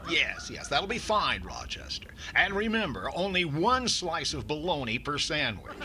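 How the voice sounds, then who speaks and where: A man acts out a vain, penny-pinching middle-aged radio comedian. His voice sits a little high and swings wildly up and down, with fussy drawn-out exclamations. The old-time comedy recording has clear background noise. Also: sing-song. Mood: bossy, stingy. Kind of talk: 1940s radio comedy acting.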